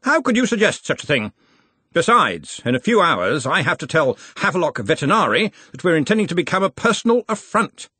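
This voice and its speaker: A man doing a grand old wizard chancellor in a storybook, booming and pompous, his voice swooping up and down wildly. The tone is husky and breathy. It sits close and dry. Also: sing-song, fairly quick, pushed and bright, no echo, full character voice. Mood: jovial. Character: blustering elderly male wizard head of a university.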